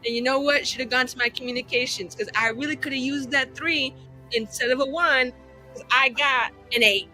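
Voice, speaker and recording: Female tabletop player, bright and fairly high voice, rambling, casual, comically exasperated, sweary. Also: fairly quick, soft background music, low-quality recording. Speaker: player in a tabletop roleplaying game. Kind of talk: casual chat, in-game improvising.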